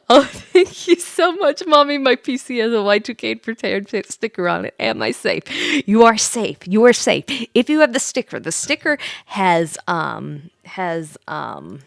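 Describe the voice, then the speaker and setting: A woman's voice: medium pitch, very animated, measured pace, gravelly, no echo, studio quality. A woman hosting a livestream, chatting casually with viewers in a playful, giggly, rambling, slightly tipsy way. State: tipsy from drinking wine. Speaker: livestream host, VTuber.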